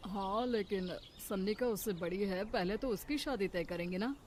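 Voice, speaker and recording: A woman's voice: mid-range voice, moderate pace, a little mellow, close to an everyday voice. Woman doing fed-up cartoon dad, weary, chiding, bit of noise behind. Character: middle-aged husband and father, exasperated, reproachful. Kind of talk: Hindi cartoon family drama. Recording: faint background noise.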